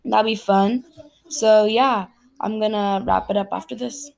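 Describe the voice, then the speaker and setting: A woman's voice: medium pitch, steady tone, a little slow, gravelly, a little mellow. A teenage girl from Cameroon hosting her own podcast, talking in a chatty, rambling, earnest way. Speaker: podcast host. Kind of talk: casual solo podcast chat.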